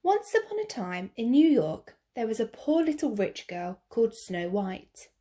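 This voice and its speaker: Expressive woman reading a storybook. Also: a little high. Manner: slow, clear and expressive. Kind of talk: picture-book read-aloud.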